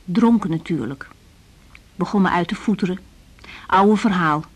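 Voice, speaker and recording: An actress playing an earnest, weary young doctor in a radio drama, speaking in short reflective phrases. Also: female voice, fairly low pitch, moderate pace, husky. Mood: wistful. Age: young adult. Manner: reflective, intimate, clipped phrases. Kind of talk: radio drama acting with diary-like monologue.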